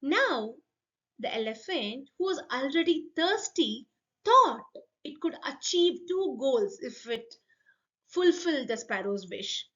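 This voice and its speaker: A woman's voice: high-pitched, sing-song, slow, a bit bright, muffled recording. A woman telling a scripted children's folk tale expressively, speaking directly to her listeners. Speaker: storyteller.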